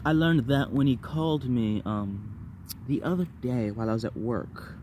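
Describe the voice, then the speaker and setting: A man's voice: medium pitch, moderate pace, dark tone, slight background noise. A man telling personal stories casually to viewers, rambling and repeating words and phrases in bursts. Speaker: vlogger.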